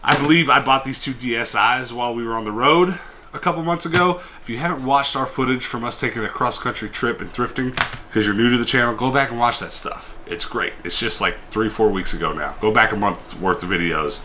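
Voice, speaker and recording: A man's voice: mid-range voice, a little fast, background noise. A man chatting casually in a vlog, showing off his collection and rambling with self-deprecating humor. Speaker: collector vlogger. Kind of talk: casual show-and-tell vlog.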